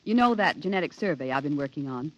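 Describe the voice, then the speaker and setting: A woman's voice: low-pitched, expressive, fast, slight background noise. An actress in a 1950s radio drama playing a cool, restrained woman scientist with a clipped, formal manner. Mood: businesslike. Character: cool, controlled professional woman, geneticist professor. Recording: dull vintage 1950s radio recording.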